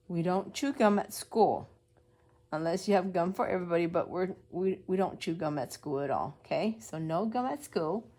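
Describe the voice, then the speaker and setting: A woman's voice: fairly low pitch, animated, normal speed, darkish tone. A woman preschool teacher reading a story aloud to young children, explaining as she goes and asking simple questions.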